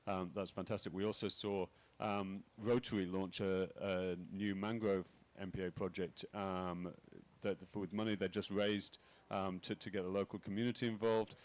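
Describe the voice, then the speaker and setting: A man speaking on a conference panel, halting with many fillers and restarts. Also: deep voice, flat delivery. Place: conference pavilion.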